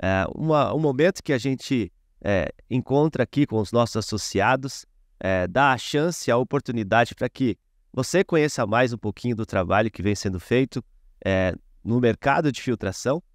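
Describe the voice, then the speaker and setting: A man's voice: mid-range voice, animated, normal speed, no echo, clean studio sound. A man hosting an interview, speaking in a hesitant way with many fillers. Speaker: podcast host.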